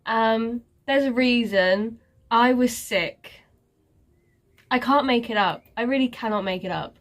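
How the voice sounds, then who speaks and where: Woman vlogger, slow and congested, sarcastic and rambling in a casual update. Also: a little high. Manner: sarcastic, self-mocking, rambling with many fillers. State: congested, stuffy nose. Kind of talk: casual life-update chat.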